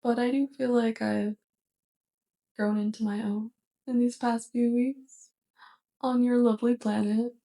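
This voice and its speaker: Girl doing a sweet awkward alien roommate for asmr, slow and hesitant, mellow kinda higher voice. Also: level tone, gravelly. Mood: fond, earnest. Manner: gentle, hesitant, halting delivery. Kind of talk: soft ASMR roleplay.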